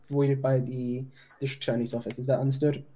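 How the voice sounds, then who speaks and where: A man improvising a formal police detective's interview in a roleplay, measured, with stumbles and self-corrections. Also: medium pitch, flat delivery, warm tone.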